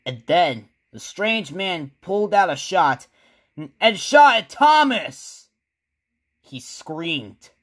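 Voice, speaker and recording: A man narrating a scripted horror story with a dramatic, drawn-out delivery. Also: sits high, sing-song, fairly bright tone.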